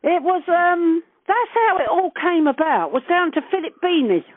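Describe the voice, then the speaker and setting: A woman's voice: very high-pitched, animated, normal speed, no room sound. An older woman reminisces casually over the phone, chatty, earthy and blunt, with casual swearing. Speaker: interviewee recalling past events. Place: on a phone call. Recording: narrowband telephone call, dry.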